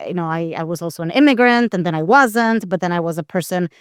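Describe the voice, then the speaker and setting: A woman's voice: lowish voice, quick pace, clear, resonant tone, dry recording, studio quality. A middle-aged woman from Costa Rica answering interview questions conversationally, in a candid, reflective, explanatory way. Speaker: guest, anthropologist and expert witness.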